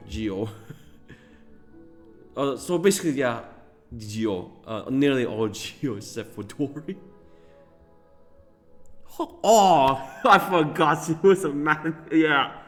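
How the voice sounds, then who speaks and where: A man's voice: medium pitch, takes it slowly, long pauses, soft background music. A man giving casual gameplay commentary as a streamer, rambling with many fillers and chuckles. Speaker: gaming streamer.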